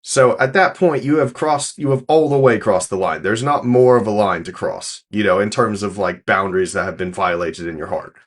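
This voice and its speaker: A man's voice: fairly low pitch, normal speed, few pauses. A man giving casual reaction commentary, explaining his opinions firmly in long, conversational runs.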